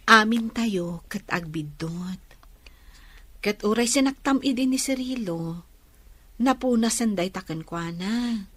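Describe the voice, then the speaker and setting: A woman's voice: medium pitch, animated, takes it slowly, pauses often, a bit bright, dry recording. A woman acting a kind, forgiving young friend with an Ilocano Filipino accent in a radio drama. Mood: kind, encouraging.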